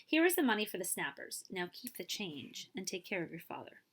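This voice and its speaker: A woman's voice: medium pitch, big pitch swings, a little fast, fairly bright tone. A woman voicing a kindly, astonished old male merchant in a storybook, emphatic and full of wonder. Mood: wondering, grateful.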